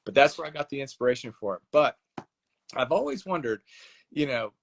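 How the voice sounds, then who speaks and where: Male author guest, mid-pitched, lively storyteller who slips into quick voiced dialogue. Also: creaky, slight background noise. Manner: animated storyteller, acts out dialogue with laughs. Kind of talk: casual interview chat.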